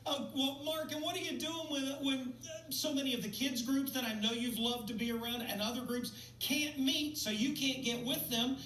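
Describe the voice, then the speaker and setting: A man's voice: very high voice, fairly even intonation, moderate pace, bright, forceful tone. Male TV host, casual and upbeat interviewer, playful banter, echoey room, off-mic. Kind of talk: casual broadcast interview chat. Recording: roomy, distant mic, faint noise.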